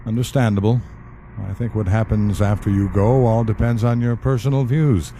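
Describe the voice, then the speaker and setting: A man plays a solemn guide of the dead in an audio drama. His voice is dark, mellow and fairly low, with gentle, measured, matter-of-fact delivery. A little noise sits behind him. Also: steady tone, lightly acted. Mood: gentle, reassuring. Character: calm, solemn male afterlife agent guiding the dead. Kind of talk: audio play acting.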